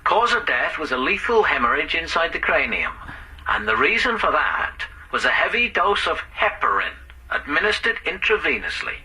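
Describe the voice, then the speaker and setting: An actor playing a brisk, matter-of-fact police sergeant in a radio drama. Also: male voice, a little high, sing-song, pushed and bright, slightly rough, full character voice, rough recording. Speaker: police detective.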